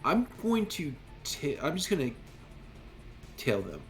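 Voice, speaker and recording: A man's voice: fairly high pitch, huge pitch swings, slow, fairly bright tone. A man chatting casually as a player in a tabletop roleplaying game. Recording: music behind the voice. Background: music.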